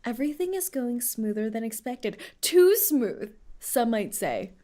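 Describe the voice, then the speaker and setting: A woman's voice: fairly high pitch, sing-song, a little slow, warm tone. A woman streaming a game, chatting playfully and giggling as she reacts to the story. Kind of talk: casual gameplay commentary.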